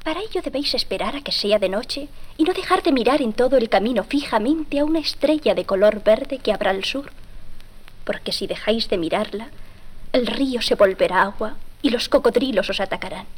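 A woman's voice: fairly high pitch, expressive, a little fast, slightly rough. A woman playing a wise forest fairy, giving solemn, instructive guidance in a children's storybook tale. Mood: solemn, guiding. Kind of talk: storybook acting.

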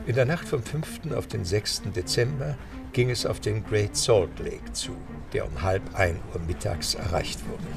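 Male narrator, fairly low pitch, creaky voice, measured storytelling, audio drama, music behind.

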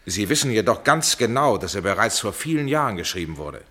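An actor playing an earnest, forthright family doctor in a German radio crime drama, polite, formal and firm. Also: male voice, on the low side, big pitch swings, a little fast, fairly bright tone, husky, heavily acted.

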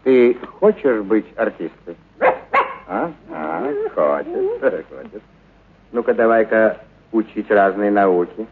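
A man's voice: fairly low pitch, wildly swooping pitch, takes it slowly, phone-quality sound, slight background noise, full character voice. An actor playing a kindly, enthusiastic male circus animal trainer in a radio play, muttering hesitantly and repeating encouragements. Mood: coaxing, pleased. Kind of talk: dramatized literary radio play acting.

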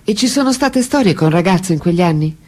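Radio play: longtime lover, teasing and inquisitive, husky voice, frank questioning. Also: female voice, on the low side, animated, fairly quick, darkish tone. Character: French intellectual woman, probing, ironic longtime lover questioning her man. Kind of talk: radio drama acting, interview-like dialogue.

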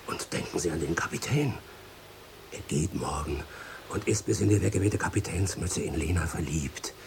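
Ein Schauspieler spielt im Hörspiel einen treu ergebenen alten Kutscher, der gedämpft, eindringlich und vertraulich spricht. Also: male voice, deep voice, normal speed, gravelly, slight background noise. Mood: hushed pleading. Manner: hushed, pleading, intimate. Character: devoted old coachman, longtime family servant in love. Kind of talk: radio drama acting.

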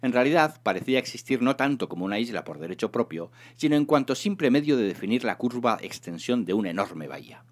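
A man reading a humorous science fiction novel aloud in an expressive, theatrical narration. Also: medium pitch, quick pace, hardly any pauses. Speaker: reader of a serialized humorous sci-fi novel.

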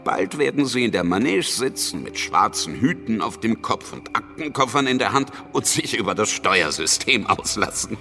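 A worldly revolutionary politician with a very low voice. He banters with cynical, teasing irony in a radio drama, with music clearly playing behind him. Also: lively intonation, moderate pace, hardly any pauses, bright, forceful tone. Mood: wry, cynical. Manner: ironic, bantering. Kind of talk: German radio drama dialogue.

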